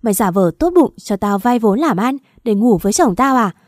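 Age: young adult. Figure: betrayed wife in a spoken audio story, shouting with rage, acted by a woman narrator. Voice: warm and mellow. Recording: dry. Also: mid-range voice, animated, fairly quick, dry recording, natural voice. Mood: furious. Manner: shouting, raging accusations.

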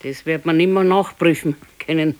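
A plain-spoken old Bavarian wife, played by an actress in a radio drama. Her voice sits a little low for a woman, and she talks in a homely, matter-of-fact way with a readiness to nag. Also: steady tone, moderate pace, a little mellow, lightly acted. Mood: reminiscing. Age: older. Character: plain-spoken ageing wife, former servant girl, nagging. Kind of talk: naturalistic radio drama acting.

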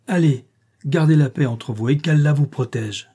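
A man's voice: on the high side, normal speed, warm tone, slightly rough, voice pushed up. A man voicing a commanding chieftain giving an imperious speech to a crowd, in a storybook reading. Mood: rousing. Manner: imperious oratory.

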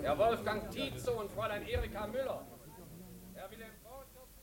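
An actress playing a formal registry office clerk in a radio drama, calling out announcements in a projected voice. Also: female voice, fairly high pitch, moderate pace, a crowd in the background, natural voice. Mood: official. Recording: distant from mic, busy background.

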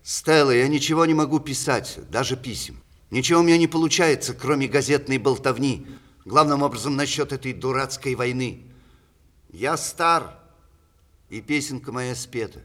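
A man's voice: mid-range voice, normal speed, fairly bright tone, close to an everyday voice. An actor playing a witty, opinionated ageing playwright reading out his letters in declamatory, ironic 1960s Russian radio theatre style. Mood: weary, bitter.

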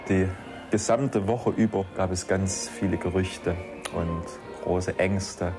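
A man's voice: low-pitched, fairly even intonation, slow, music in the background. A man giving a sober spoken recollection as an eyewitness.

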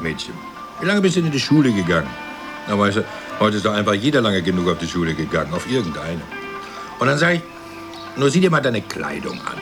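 An actor playing a smug, pompous middle-aged husband, condescending and self-satisfied, in a radio drama. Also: male voice, fairly low pitch.

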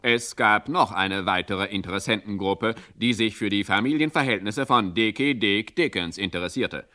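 A man's voice: lowish voice, moderate pace, bright, forceful tone, soft background music. A man delivering wry scripted narration for a radio drama.

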